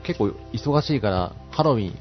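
A man's voice: mid-range voice, animated, moderate pace. A man co-hosting a casual chat show, speaking in a polite but chummy way. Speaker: podcast co-host and amateur actor. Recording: muffled audio with music clearly behind. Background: background music.

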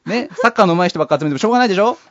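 A man's voice: sits high, expressive, racing, fairly bright tone, no echo. A man co-hosting a casual comedic talk show, chatting in a nagging, scolding, exasperated straight-man way. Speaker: radio show co-host. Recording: dull lo-fi dry recording.

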